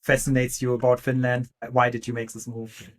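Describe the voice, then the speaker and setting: A man's voice: medium pitch, flat delivery, fairly quick. A man hosting a podcast, asking questions and listening with short backchannels. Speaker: podcast host. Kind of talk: podcast interview.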